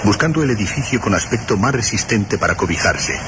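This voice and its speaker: Deep, dramatic male narrator over loud noise. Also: lively intonation. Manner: dramatic, deliberate phrasing. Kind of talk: scripted suspense radio narration.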